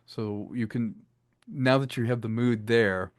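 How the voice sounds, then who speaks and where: A man's voice: mid-range voice, unhurried, dry recording, studio quality. A man speaking enthusiastically and conversationally as an audio drama creator in an interview. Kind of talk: conversational behind-the-scenes interview.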